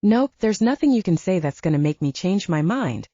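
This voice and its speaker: Audiobook role: defiant young werewolf girlfriend read by a woman, pointed and scoffing, lively pitch, slightly muffled sound. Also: moderate pace, no echo.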